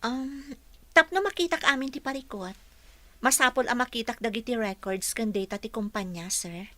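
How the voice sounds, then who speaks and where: A woman's voice: on the high side, normal speed, pushed and bright, no echo. An actress with a Filipino Ilocano accent playing a polite, eager young woman seeking office work in a radio drama. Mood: earnest. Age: young adult. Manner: polite, deferential. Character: polite, eager young female job applicant and dutiful daughter.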